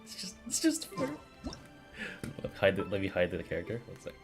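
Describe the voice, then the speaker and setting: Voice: sits low, wildly swooping pitch, brisk. A game streamer giving casual, slangy, reactive live commentary. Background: game music.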